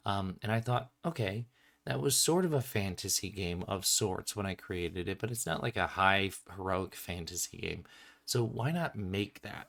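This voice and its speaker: A man with a fairly low voice hosts an informal design commentary stream. He explains things in a chatty, unscripted way, like a creator walking through his own work. He sounds slightly sniffly, as if getting over a cold.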